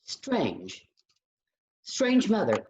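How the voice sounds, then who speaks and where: A woman's voice: lowish voice, wildly swooping pitch, very slow, darkish tone, a little hiss or hum, full character voice. A woman playing an eager mother at game night in a staged play reading. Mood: eager guessing. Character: eager mother guessing at charades. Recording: dull lo-fi recording.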